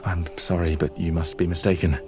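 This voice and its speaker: Actor as a very deep-voiced guarded young man. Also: fairly even intonation, brisk, close to an everyday voice. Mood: guarded, polite. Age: young adult. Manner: clipped, polite, evasive. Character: young man, guarded, polite, quietly defiant. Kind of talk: dark fantasy game acting. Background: music.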